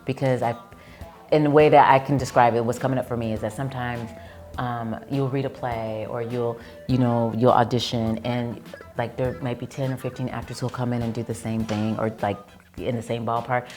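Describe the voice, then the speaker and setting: Guest: woman actor-producer, very low voice, animated storyteller, faint music underneath. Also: moderate pace. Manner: animated storyteller who acts out quoted lines. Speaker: actress and producer, interview guest. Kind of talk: casual interview chat.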